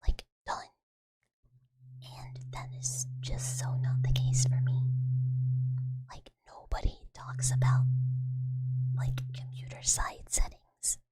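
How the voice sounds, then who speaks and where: A woman ASMR streamer softly whispering and rambling while she chats with her live audience about her microphone setup. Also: rumbling low, completely flat delivery, takes it slowly, long pauses. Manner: soft whispering, rambling with many fillers. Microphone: USB desk mic.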